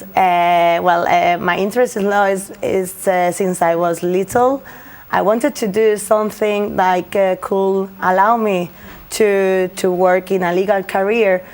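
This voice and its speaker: A woman's voice: mid-range voice, fairly even intonation, unhurried, a little mellow. A young woman lawyer from Spain with a Spanish accent, speaking English as a second language and answering interview questions with many fillers and restarts. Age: young adult. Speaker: lawyer guest.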